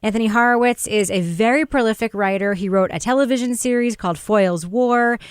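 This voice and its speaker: Female podcast host, smooth clear voice, effusive and conversational, dry studio sound. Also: on the high side, a little fast, no room sound. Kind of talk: casual panel chat.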